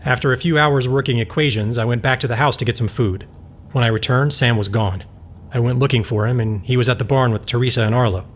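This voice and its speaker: Man playing a stern, matter-of-fact scientist, mid-pitched. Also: fast, lightly acted. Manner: matter-of-fact, firm, clinical. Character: stern, self-controlled male scientist, blunt and unsympathetic. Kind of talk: audio drama acting with narrated testimony. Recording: faint background noise.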